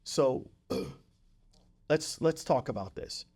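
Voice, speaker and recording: A man's voice: medium pitch, sing-song, drawn-out words, a little mellow, slightly rough, faint music behind. A man interviewing someone in a casual, conversational way, restating points and affirming the guest. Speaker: podcast interviewer, physical therapist.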